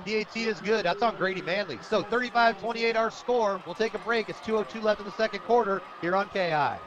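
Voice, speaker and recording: An American man doing live play-by-play of a football game as a radio announcer, excitable on the big plays. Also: high voice, a little fast, a crowd in the background. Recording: dull lo-fi radio sports broadcast.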